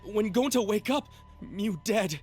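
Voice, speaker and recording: A player voicing a big, gentle teenage boy who talks in broken third-person English while reading game dialogue aloud. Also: medium pitch, expressive, a little slow, breathy edge, soft background music. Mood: confused.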